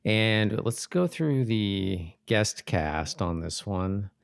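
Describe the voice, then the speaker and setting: Male podcast host, low register, dry close sound, loose rambling delivery that doubles back on itself. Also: expressive, extremely slow, creaky, smooth, no room sound. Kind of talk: casual rewatch podcast chat.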